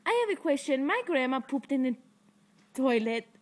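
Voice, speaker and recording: A teenage girl fakes a panicked phone call, rambling and overdoing her distress. Her voice sits high and is picked up close by a laptop webcam in a living room. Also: very animated, moderate pace. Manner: fake-distressed, rambling, stifling laughs. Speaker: prank caller. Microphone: laptop webcam mic up close.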